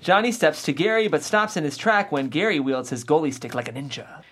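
A man's voice: on the high side, brisk, a bit bright, slight background noise. A man narrating scripted stage directions at a comedy table read.

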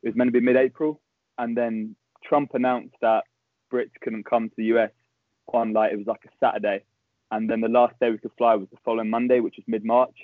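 A young man with a Southern English accent, a podcast guest chatting quickly with dry humour. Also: medium pitch, flat delivery, dry recording. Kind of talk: casual interview chat. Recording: tinny phone-like call line, dry.

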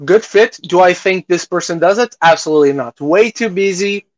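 Higher-voiced man, opinionated podcast host. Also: moderate pace. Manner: opinionated, swears casually. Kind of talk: casual film discussion.

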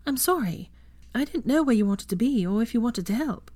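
A woman's voice: on the high side, sing-song, a little fast, darkish tone, dry recording, voice pushed up. A woman voicing a clever, bossy young witch who talks in a persuasive, arch way. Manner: conciliatory and arch by turns.

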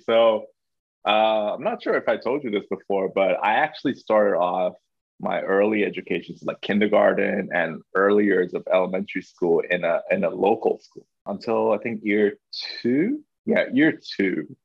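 A young man from Hong Kong, a podcast guest, talking in a relaxed, thoughtful way with lots of fillers. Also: deep voice, gravelly, slightly rough, no echo, dull audio. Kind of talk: casual interview.

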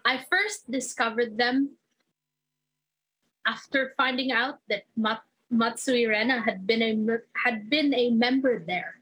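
A woman co-hosts a podcast chat. She speaks slowly in a slightly high, breathy voice and keeps her answers short and plain. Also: fairly even intonation, fairly bright tone, rough recording. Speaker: podcast co-host. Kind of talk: casual chat.

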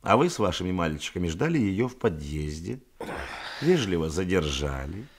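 An actor playing a shrewd, composed police detective in a radio drama, deliberate, commanding and dryly ironic. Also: male voice, low voice, sing-song, breathy edge, exaggerated voice. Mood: methodical, pressing.

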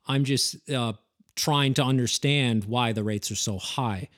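A man hosting a casual interview, talking informally and haltingly as he thinks aloud. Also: medium pitch, fairly bright tone. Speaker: podcast host, former wildland firefighter.

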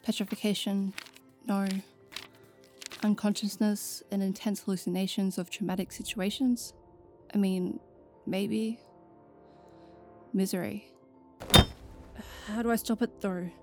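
A woman's voice: mid-range voice, flat delivery, unhurried, long pauses, dark tone, faint music behind, close to an everyday voice. A woman playing an anxious but determined, self-doubting young adventurer in an audio drama, speaking in a hesitant, plain way. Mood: muttering, reasoning.

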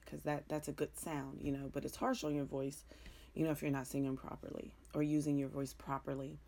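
A middle-aged woman hosting a sports show in casual, rambling talk, frank and joking, with a raspy, somewhat hoarse voice. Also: sits low, little pitch movement, brisk, warm tone.

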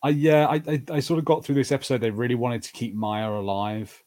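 A man's voice: medium pitch, fairly even intonation, brisk, mellow. A man co-hosting a podcast, chatting casually as he reviews TV shows. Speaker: podcast co-host.

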